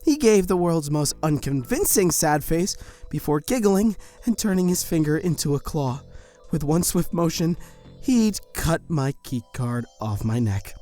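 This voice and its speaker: A woman's voice: low voice, big pitch swings, a little slow, warm tone, soft background music. A woman narrating a first-person audio drama in a tense, breathless storytelling manner.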